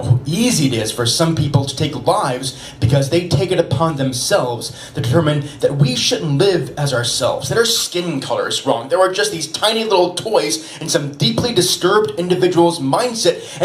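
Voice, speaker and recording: A man reading spoken-word poetry at a live open mic, with an earnest, emphatic and dramatic delivery. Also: fairly high pitch. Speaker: poet and open mic co-host. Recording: roomy, noisy live venue recording.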